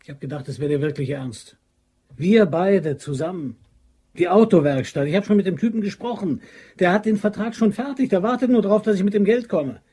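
A man's voice: high voice, a little fast, warm tone, husky. An actor in a German crime radio drama playing a pushy, determined young man plotting a robbery, insistent and goading. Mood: urgent, pressing.

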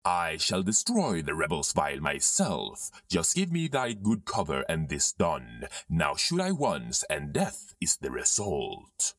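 A man playing a menacing dark lord commanding his soldiers in grandiose Elizabethan verse in an audio drama. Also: a bass voice, wildly swooping pitch, a little slow, hardly any pauses, dry recording, studio quality, distant mic, heavily acted. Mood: menacing, triumphant.

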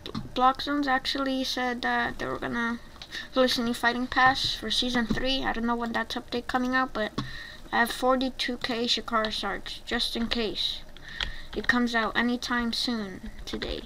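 A woman's voice: on the high side, flat delivery, moderate pace. A woman giving casual, playful and mischievous gameplay commentary, talking like a teasing video creator at a computer. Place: indoors at a computer. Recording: clear background noise, keyboard clicks.

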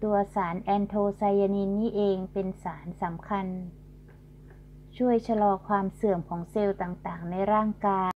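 A woman's voice: medium pitch, steady tone, normal speed, a little mellow. A middle-aged woman hosting a garden channel, politely explaining flowers as she films outdoors with a handheld phone. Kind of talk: casual garden tour narration. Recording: dull lo-fi sound with faint hum.

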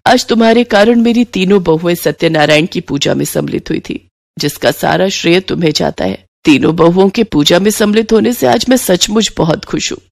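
Woman acting a low-voiced elderly mother-in-law. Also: creaky, darkish tone, no echo, clean studio sound. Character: elderly mother-in-law, plaintive, affectionate to dutiful daughters-in-law. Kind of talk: cartoon story acting.

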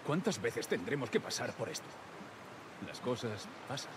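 A man's voice: medium pitch, big pitch swings, moderate pace, background noise. A man playing a terse, guarded middle-aged survivor in a video game, with a Castilian Spanish accent. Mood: stern. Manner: terse, gruff. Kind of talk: naturalistic video game acting.

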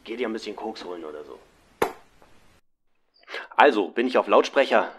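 Grumpy foul-mouthed director, played by a man, low voice, curt, parody radio play, faint noise. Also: expressive, normal speed, fairly bright tone. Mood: irritated, serious. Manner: curt, crude. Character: gruff, foul-mouthed film director, grumpy older client. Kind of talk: parody detective audio drama acting.

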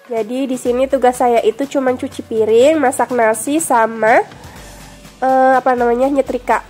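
A woman's voice: on the high side, a little mellow. A young woman with a cold and a stuffy nose, chatting to viewers in a friendly voice-over for her home vlog. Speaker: vlogger. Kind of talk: casual voice-over narration.

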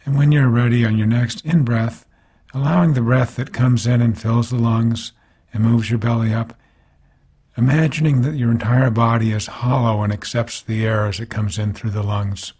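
A man guiding a body scan meditation as a teacher, speaking calmly in gentle, unhurried phrases with pauses between them. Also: mid-range voice, husky.

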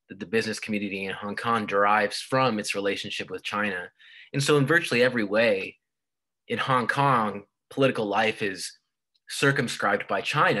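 A man's voice: on the low side, bright, forceful tone. A man giving a university lecture over slides, explaining at length and often restarting with repeated words. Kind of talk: recorded online lecture.

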